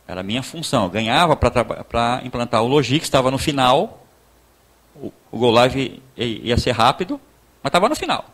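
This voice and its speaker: A man with a southern Brazilian accent from Rio Grande do Sul, preaching informally in a conversational, halting storytelling way, repeating words and acting out dialogue. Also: mid-range voice, sing-song, fairly bright tone. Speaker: pastor giving a talk. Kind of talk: informal sermon with personal testimony.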